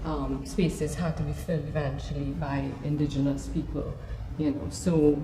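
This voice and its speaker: A woman's voice: low-pitched, level tone, moderate pace, warm tone. A woman in her fifties with a Trinidadian accent, giving an informal, conversational artist talk about her own memories. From Trinidad and Tobago. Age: 50s. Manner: conversational, often checking in with "you know". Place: gallery talk in a room. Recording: echoey room, noticeable background noise.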